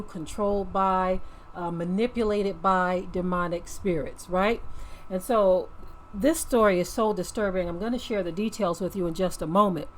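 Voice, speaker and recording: A woman's voice: fairly low pitch, slight background noise. A woman hosting a channel, giving informal commentary in a grave, emphatic way and repeating words for weight. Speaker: channel host. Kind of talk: informal talk to camera, commentary.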